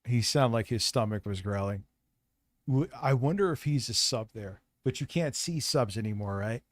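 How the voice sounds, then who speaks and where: A man hosting a casual live-stream chat, with a raspy voice and a blunt, swearing way of talking. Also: mid-range voice, animated, normal speed. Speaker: host.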